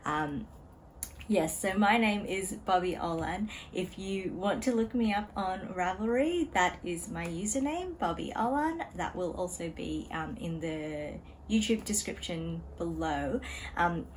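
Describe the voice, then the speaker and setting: Woman hosting a home knitting vlog; slow, easygoing delivery, slightly low voice. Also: a little hiss or hum, low-quality recording. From Australia. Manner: relaxed, chatty, frequent ums and small laughs. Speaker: knitting vlogger. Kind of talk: casual chat to camera. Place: home room beside a desk.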